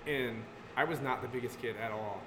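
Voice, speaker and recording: A man in his thirties, a guest artist, talking loosely about his work and sports, heavy on filler words and quick laughs. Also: mid-range voice. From Connecticut. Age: 30s. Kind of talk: casual podcast chat. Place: brewery taproom. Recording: roomy live venue with loud background noise.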